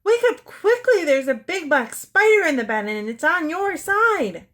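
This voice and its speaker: A woman's voice: sits high, sing-song, a little slow, fairly bright tone, voice pushed up, full character voice. A woman voicing a mischievous little girl who speaks in a scary, urgent way.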